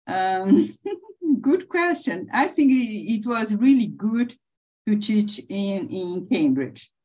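Slow, halting middle-aged woman professor. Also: medium pitch, lively intonation, mellow, slightly rough. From Brazil. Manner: halting, many restarts and fillers, laughs often. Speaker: interviewee, professor. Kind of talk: conversational interview.

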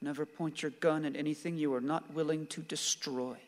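A man plays an anxious, earnest family man in a radio play, hesitant and prone to stammer. His voice sits a little high, in long hall reverb. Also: fairly even intonation, lightly acted. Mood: timid, earnest. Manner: halting, stammering.

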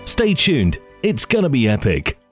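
Lively male announcer over music. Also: mid-range voice, unhurried. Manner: hyped, promotional. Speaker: show announcer. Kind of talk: scripted intro voice-over.

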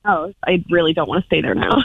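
A woman's voice: on the low side, expressive, fairly quick, gravelly, slightly rough. A woman calling in by phone, chatting casually in a hesitant way, peppered with "like". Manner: hesitant, filler-heavy with "like". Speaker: phone-in guest. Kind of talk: casual chat. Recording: dull lo-fi phone call, dry.

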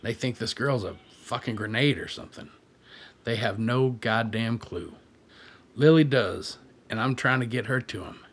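An actor playing a gruff, cynical militia man in a radio play, blunt, plain-spoken and foul-mouthed. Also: mid-range voice, normal speed, fairly bright tone. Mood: contemptuous.

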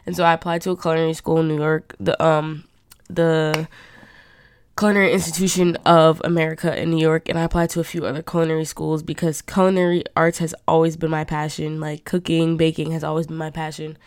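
A woman's voice: low voice, flat delivery, a little fast, smooth, no echo. A young woman hosting her own talk show, chatting casually and rambling with many fillers, her voice nasal and stuffed up from a cold. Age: young adult. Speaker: podcast host. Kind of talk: casual monologue.

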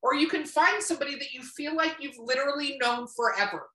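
Woman around fifty, bright voice, opinionated, rambling, casual talk with swearing, echoing room. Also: sits high, brisk. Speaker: podcast co-host. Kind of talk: casual book chat. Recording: roomy, echoing sound.

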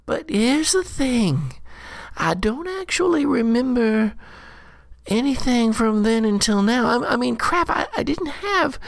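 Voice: medium pitch, big pitch swings, a little slow, vocal fry, no room sound, voice pushed up. A performer voicing a scrappy, slangy adventurer who mutters and curses through a jittery diary monologue. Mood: rattled, frustrated. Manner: slangy, cursing, self-interrupting monologue. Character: scrappy slangy jittery adventurer Skeen recounting a bad day. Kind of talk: in-character audio diary monologue.

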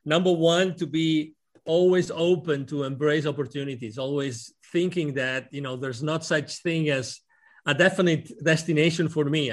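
A middle-aged man from Spain with a Spanish accent, answering interview questions in a hesitant, restarting way. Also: on the high side. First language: Spanish and Catalan. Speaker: guest.

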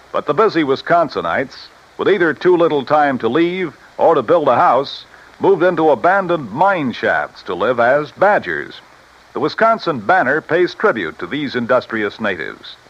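A man's voice: medium pitch, sing-song, measured pace, a bit bright. A man reading scripted history narration in the style of 1950s radio. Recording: muffled old radio recording.

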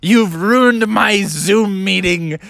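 A storyteller voicing a stern, dramatic female frog teacher who scolds with drawn-out, emphatic words. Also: medium pitch, gravelly, fairly bright tone, dry recording, pitched above the performer's own voice. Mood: scolding.

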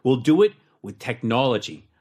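An actor playing a confident, driven startup executive in an audiobook drama, decisive and persuasive, with a husky voice. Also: male voice, mid-range voice, very animated, normal speed, a little mellow. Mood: determined.

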